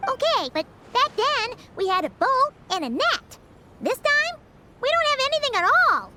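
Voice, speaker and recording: A woman's voice: extremely high pitch, very animated, moderate pace, very noisy. An actress voicing a small, chirpy floating companion girl in a game, quippy and curious. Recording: loud game music behind.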